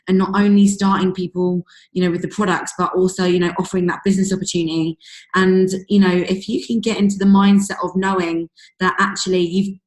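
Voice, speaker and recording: A woman with a husky, fading voice, coaching her sales team on a call in a chatty way full of fillers. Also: medium pitch, darkish tone. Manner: chatty, many fillers like "um" and "you know". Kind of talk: casual motivational coaching talk. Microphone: video call. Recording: echoey room over a video call.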